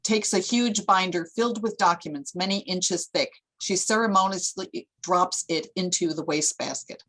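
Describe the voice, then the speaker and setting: A woman's voice: fairly low pitch, normal speed, fairly bright tone, rough recording. A woman narrating stage directions for a screenplay table read in a scripted reading style.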